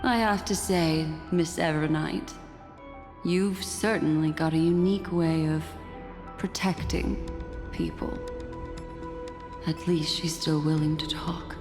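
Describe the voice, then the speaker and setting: Low, languid, teasing game heroine. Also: female voice, steady tone, takes it slowly, long pauses. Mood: wry, composed. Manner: coy, languid, politely sardonic. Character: elegant, teasing, composed young female memory keeper. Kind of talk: dramatic video game voice acting. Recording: long reverb, music underneath.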